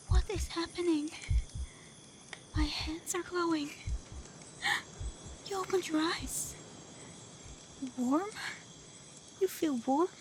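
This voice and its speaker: Female actor for a young kitsune woman in ASMR roleplay: vulnerable, devoted, unhurried, breathy, night insects behind. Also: high voice, slight background noise. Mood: astonished, relieved. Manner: breathy, emotional delivery often dropping into whispers. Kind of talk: ASMR roleplay voice acting addressed to the listener.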